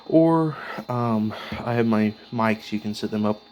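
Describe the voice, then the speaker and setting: A man giving a casual, unscripted show-and-tell of his gear as a hobbyist, speaking in a halting, piecemeal way as he handles items. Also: lowish voice, a little mellow, dull audio. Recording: clearly noisy background with clicks.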